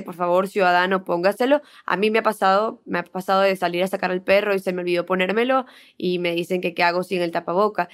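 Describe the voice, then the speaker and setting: A woman's voice: medium pitch, clean tone. A woman with a Venezuelan accent reporting conversationally, often repeating words as she searches for the next one.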